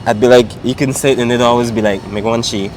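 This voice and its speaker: A man's voice: medium pitch, quick pace, a little hiss or hum, poor audio. A young man from Saint Vincent and the Grenadines, chatting in a casual interview with lots of "um" and "like". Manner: relaxed, many fillers like "um" and "like".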